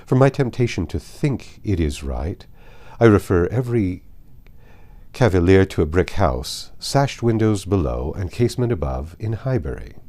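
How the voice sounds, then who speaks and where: A man with a very deep, soft-edged voice reads literary fiction aloud. His delivery is formal and measured, with lively swings of intonation on stressed phrases. It has the style of an expressive audiobook narration. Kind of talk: expressive literary read-aloud of a classic novel.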